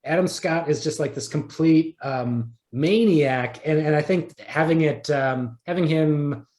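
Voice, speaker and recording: A man's voice: a little high, unhurried, a little mellow. A man speaking as a podcast guest in casual interview chat, enthusiastic and rambling, often starting over and repeating words. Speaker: podcast guest, audio drama writer.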